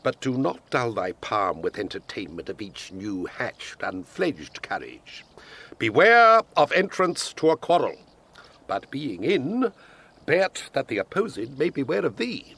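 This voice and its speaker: An actor playing a pompous, wordy old father in Shakespearean style, lecturing his grown children emphatically in a gravelly, husky voice. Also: medium pitch, a bit bright, full character voice. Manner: declamatory, emphatic, sententious. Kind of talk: Shakespearean stage acting.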